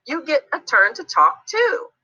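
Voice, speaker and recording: A woman's voice: high-pitched, sing-song, a little slow, bright, forceful tone, highs cut off, voice pushed up, full character voice. A woman voicing a friendly, helpful young girl in a children's storybook, patient and encouraging. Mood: kind, encouraging.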